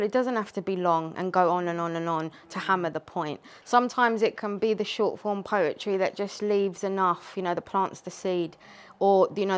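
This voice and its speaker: A woman's voice: medium pitch, a bit bright, smooth. A woman poet whose first language is Urdu, talking earnestly and reflectively in a group discussion. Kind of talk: casual discussion. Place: community space.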